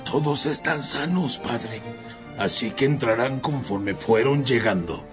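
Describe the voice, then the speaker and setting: A man's voice: mid-range voice, unhurried, lightly acted. A man acting as a dutiful, deferential church assistant in a radio drama, announcing visitors in an orderly way. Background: music.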